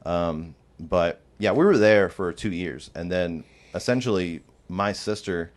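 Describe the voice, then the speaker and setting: A man's voice: low-pitched, animated, a little slow. A man in his twenties, raised in the American South, telling his life story as a podcast guest with lots of "like" fillers. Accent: light Southern American. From Iraq, raised in Georgia, USA. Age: 20s. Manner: rambling, many "like" fillers, laughs often.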